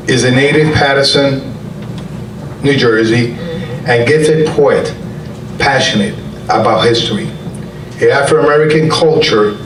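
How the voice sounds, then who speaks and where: Man reading a resolution in a hall. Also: mid-range voice. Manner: halting, with jokes and self-corrections. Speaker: city council member. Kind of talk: reading a council resolution at a ceremony. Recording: echoey hall, far mic, loud room noise.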